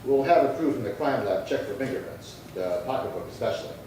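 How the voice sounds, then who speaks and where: A man's voice: mid-range voice, expressive, fast talker, natural voice. A man acting a terse, courteous police detective in a 1950s-style radio crime drama, asking clipped, matter-of-fact questions. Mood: patient, dry. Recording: very echoey, distant, faint noise.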